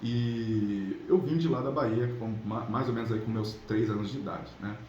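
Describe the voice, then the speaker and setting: A Brazilian man with a mellow, relaxed voice talks casually, like a coach motivating viewers. He speaks in a colloquial, conversational way, often checking in with the listener. The room echoes around him and there is audible background noise. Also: fairly low pitch, normal speed, highs cut off. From São Paulo state, Brazil. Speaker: relationship coach, channel host. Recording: roomy echo, clear background noise.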